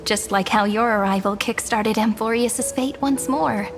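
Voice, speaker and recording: An actress playing a gentle, wistful young woman in a game cutscene, speaking softly and earnestly. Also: on the high side, unhurried, noisy background. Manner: soft, earnest and reflective. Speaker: game character. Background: soft game score.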